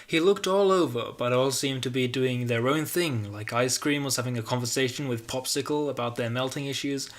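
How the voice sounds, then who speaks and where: A man's voice: mid-range voice, brisk, few pauses. A man hosting a comedic YouTube video, reading aloud and commenting in a sardonic, casually sweary way. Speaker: YouTube host.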